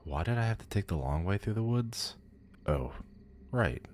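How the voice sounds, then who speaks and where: A man's voice: fairly low pitch, lively intonation, normal speed, darkish tone, a little hiss or hum, close to an everyday voice. A man playing a powerful demon lord in an ASMR audio roleplay, pompous, condescending and theatrical. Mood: bored, intrigued. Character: haughty, powerful male demon lord, bored and amused.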